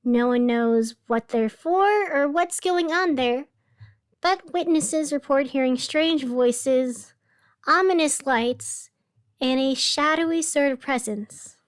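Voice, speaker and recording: A woman playing a whimsical, musing radio host of a strange city in a scripted fiction podcast, with dramatic pauses. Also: sits high. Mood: cautious, announcing. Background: music behind the voice.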